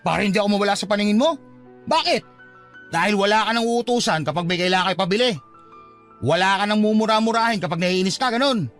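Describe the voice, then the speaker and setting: A man's voice: high voice, fairly bright tone. An actor playing a hurt, fed-up young father in an emotional, reproachful Filipino radio drama style. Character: hurt, fed-up young father giving up on his unrequited love.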